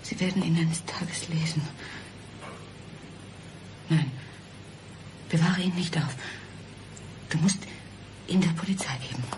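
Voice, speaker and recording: An actress playing a frightened, resigned mother in a German radio crime drama, speaking in a subdued, often breathy way. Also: sits low, takes it slowly, pauses often, a little mellow, slight background noise. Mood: despairing. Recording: studio radio drama with music.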